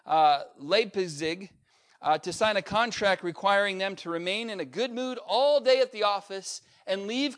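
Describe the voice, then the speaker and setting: Man, pastor, sermon, high-pitched, emphatic, rising and falling delivery. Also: clear, resonant tone. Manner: emphatic preacher with swings in pitch and loudness, repetitive refrain.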